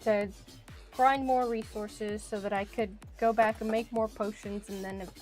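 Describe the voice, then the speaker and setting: A woman's voice: medium pitch, steady tone, unhurried, darkish tone, soft background music. A woman streaming a video game, chatting casually and thinking aloud as she plays. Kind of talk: casual live-stream chat while playing.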